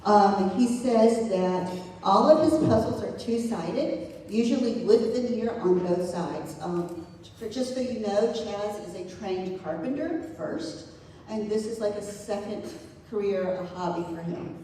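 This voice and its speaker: A woman's voice: mid-range voice, a little slow, mellow. A woman presenting contributors to a live audience, speaking hesitantly with many fillers as she reads from notes. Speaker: event organizer presenting contributors. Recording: echoey hall, distant mic, faint noise.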